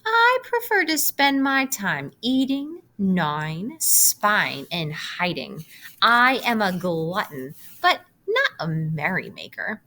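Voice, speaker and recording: A woman's voice: mid-range voice, sing-song, takes it slowly, bright, forceful tone, exaggerated voice. A woman voicing a sour, gluttonous male rat in a storybook, scornful and emphatic. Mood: sour, boastful.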